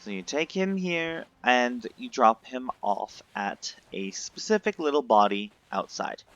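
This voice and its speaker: A man's voice: medium pitch, big pitch swings, a little slow, a bit bright, no room sound. A man giving informal, instructive walkthrough commentary for a video game. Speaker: video game guide maker. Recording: dull lo-fi mic, faint game music. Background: video game music.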